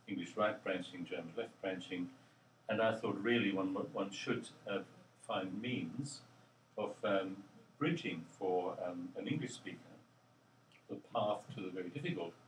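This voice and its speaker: An older man, a linguist, answering interview questions in a halting, thoughtful way with many pauses and ums. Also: low voice, a little hiss or hum. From Australia. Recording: roomy, distant mic with faint ambience.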